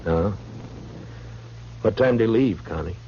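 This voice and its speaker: An American actor playing a dry, unhurried frontier marshal in an old-time radio Western, wry and understated. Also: male voice, lowish voice, animated, mellow. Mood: calm, probing. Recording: muffled old radio recording, hum and hiss.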